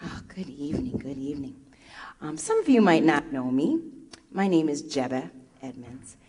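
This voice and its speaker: A woman from Liberia, a first grade teacher, giving an expressive storytelling talk at a live event on a stage mic. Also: deep voice, normal speed, husky. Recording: echoey hall.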